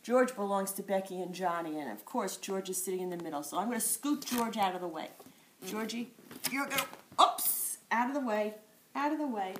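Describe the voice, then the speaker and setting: An older woman, a grandmother, reading a picture book aloud to her grandchild with playful, expressive asides. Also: mid-range voice, brisk, a little hiss or hum. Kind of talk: storybook read-aloud.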